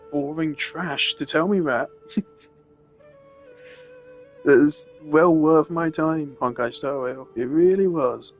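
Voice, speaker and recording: A man giving a casual, opinionated rant on a live stream, emphatic and exasperated in the way he talks. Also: fairly high pitch.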